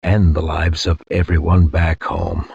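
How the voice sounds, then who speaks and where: An actor playing a grim, war-weary soldier who solemnly narrates a space war in a game cutscene, in a husky, gravelly, measured voice. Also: male voice, sits low, flat delivery, a little mellow, no room sound, muffled recording, full character voice. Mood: grim, haunted. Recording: faint music behind a dry voice.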